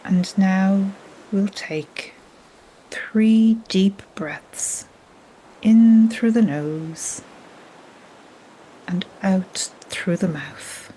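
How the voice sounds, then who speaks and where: A woman guiding a yoga nidra relaxation in a soft, breathy, half-whispered, soothing manner. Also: mid-range voice, very slow, pauses often, rough recording. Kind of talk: guided relaxation meditation. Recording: steady soft noise like ocean waves. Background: gentle ocean waves.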